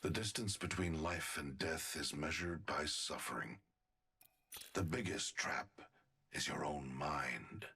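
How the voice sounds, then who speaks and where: A man voicing a cold, sadistic scientist villain in a video game, deliberate and condescending. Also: bright, forceful tone, full character voice. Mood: menacing, superior. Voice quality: very deep, raspy. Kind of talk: video game character voice lines.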